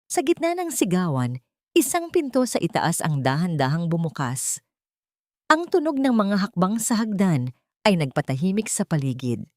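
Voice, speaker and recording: Woman, lowish voice, dramatic audiobook narration, scripted, dry close sound. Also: big pitch swings, normal speed, no echo.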